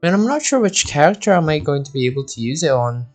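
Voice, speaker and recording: A man's voice: a little high, sing-song, fast talker, soft background music. A man doing casual live gameplay commentary, rambling and thinking aloud. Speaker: game streamer.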